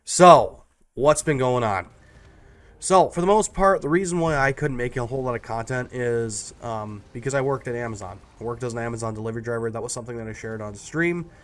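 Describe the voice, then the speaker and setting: A man's voice: medium pitch, a little fast. A man with a stuffy, congested voice gives a casual personal update to his viewers, rambling with lots of ums and restarts. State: stuffed up, nasal from a cold. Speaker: YouTuber.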